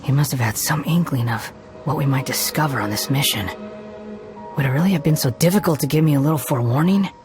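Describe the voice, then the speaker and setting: An arrogant young male game villain in a low register for a woman. He is scornful and condescending, with theatrical, mocking delivery over faint music. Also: fast. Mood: irritated. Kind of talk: scripted video game voice acting. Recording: music behind.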